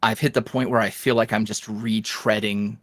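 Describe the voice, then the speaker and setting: A man speaking as a podcast guest in a casual interview, animated and jokey, with slangy, self-deprecating talk. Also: fairly low pitch, moderate pace, dry recording, clean studio sound. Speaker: podcast guest, audio roleplay creator.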